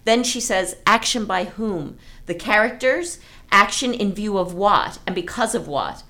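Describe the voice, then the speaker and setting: A woman's voice: mid-range voice, measured pace, pushed and bright. A woman hosting a literary podcast, effusive and thinking aloud as she discusses writing. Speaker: podcast host, writer.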